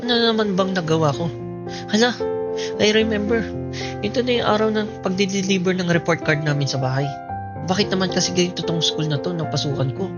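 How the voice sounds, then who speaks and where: A man's voice: high voice, a little fast, close to an everyday voice. Man playing a shy, lovestruck Filipino student, music behind. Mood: nervous. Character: shy, insecure, lovestruck young male student. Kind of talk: amateur Filipino radio drama acting.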